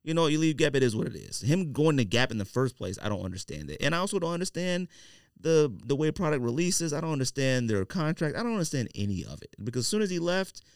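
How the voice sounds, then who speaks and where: A man's voice: a little high, big pitch swings, quick pace, smooth, no room sound, studio quality. An American man hosting a casual podcast, arguing his points emphatically and repeating himself. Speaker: podcast host. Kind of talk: casual podcast chat and debate. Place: indoor podcast studio.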